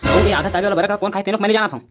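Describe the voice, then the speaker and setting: A woman voicing a short-tempered, grumpy father in a cartoon, with a Chhattisgarhi accent and a quick, scolding way of talking. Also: mid-range voice, lightly acted. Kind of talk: cartoon voice acting. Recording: loud music behind.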